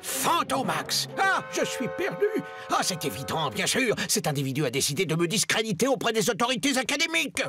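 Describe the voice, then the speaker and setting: A man's voice: high-pitched, wildly swooping pitch, brisk, music in the background, exaggerated voice. A man voicing a flustered, pompous school headmaster in a cartoon, melodramatic and officious. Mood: despairing. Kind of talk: French animated series dubbing.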